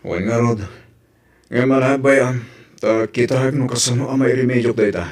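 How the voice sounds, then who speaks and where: A man acting in a family drama as an evasive young fiancé, speaking conversationally and hesitantly with a husky voice. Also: medium pitch, fairly even intonation, normal speed, darkish tone, natural voice. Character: evasive young man engaged to a pregnant woman.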